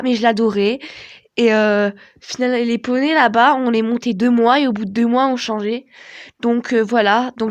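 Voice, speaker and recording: Hoarse young woman vlogger, sick. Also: fairly high pitch. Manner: rambling, many fillers and restarts. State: hoarse from a cold. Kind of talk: casual storytelling to camera.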